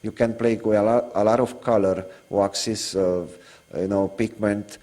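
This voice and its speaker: Romanian-accented man, low voice. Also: fairly even intonation, dark tone. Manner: halting non-native English with many "uh" fillers. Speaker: guest artist, iconographer. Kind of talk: interview on location.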